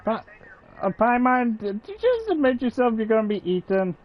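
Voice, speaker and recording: A man's voice: extremely high pitch, sing-song, brisk. A man doing casual livestream commentary while he plays a video game. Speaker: gaming streamer. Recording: dull, slightly lo-fi mic.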